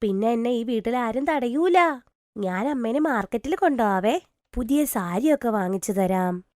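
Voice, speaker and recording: A woman acts out a young schoolgirl in a cartoon story. Her voice is high and childlike, earnest and hopeful, with a pleading way of talking. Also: lively intonation, normal speed, a little mellow, dry recording, clean studio sound, close to an everyday voice. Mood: dreamy, hopeful. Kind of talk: dramatised cartoon story dialogue.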